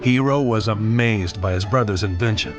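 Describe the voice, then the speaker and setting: A man's voice: fairly low pitch, normal speed. A man reading a scripted children's read-along storybook as its narrator. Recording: music bed behind the voice. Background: background music.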